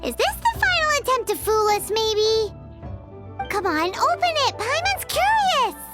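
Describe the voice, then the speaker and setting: Squeaky, very high little fairy. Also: female voice, very animated, measured pace, full character voice. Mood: curious. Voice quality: squeaky, childlike. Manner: exclamatory, talks of self in third person. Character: small childlike female fairy companion, cheeky and bossy. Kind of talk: anime video game voice acting. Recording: music behind the voice.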